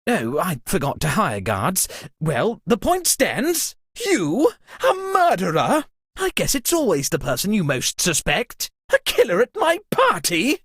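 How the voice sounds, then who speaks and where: A man's voice: sits high, wildly swooping pitch, unhurried, fairly bright tone, slightly rough, dry recording, studio quality, full character voice. A man playing a pompous, melodramatic landed-gentry party host in a comedic video game. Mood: haughty, fussy, then shrieking alarm. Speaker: game character hosting a murder mystery party.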